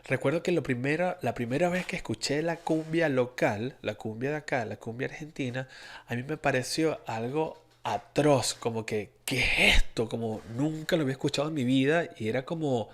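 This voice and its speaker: A man's voice: mid-range voice, normal speed, a bit bright. A young Venezuelan man with a Venezuelan Spanish accent hosting a podcast, talking in a rambling way with many restarts and fillers. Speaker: podcast host. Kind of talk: casual solo podcast monologue.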